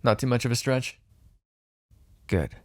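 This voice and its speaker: A man's voice: medium pitch, lively intonation, unhurried, no echo, natural voice. A man playing a smug, teasing vampire in an intimate ASMR roleplay, sardonic and flirtatious, possessive but fond. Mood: impatient, flirtatious. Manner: sardonic, flirtatious, swearing, sighing.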